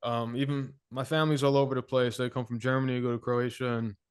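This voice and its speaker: A man's voice: medium pitch, level tone, quick pace, smooth. A man speaking as a podcast guest, laid-back and candid in casual talk. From United States. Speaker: guest musician.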